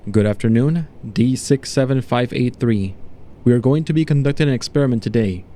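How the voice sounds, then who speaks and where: Male scientist, read by a male narrator, horror audio drama: formal, clinical, unemotional, soft dark timbre. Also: mid-range voice, fairly quick, natural voice. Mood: clinical, detached. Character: detached, formal male research doctor running an experiment.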